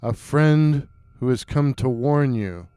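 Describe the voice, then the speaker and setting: A man voicing a towering, grim forest stranger who speaks in a deep, hollow, distant-sounding voice with slow, solemn warnings. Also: dark tone, clear, resonant tone, no echo, natural voice.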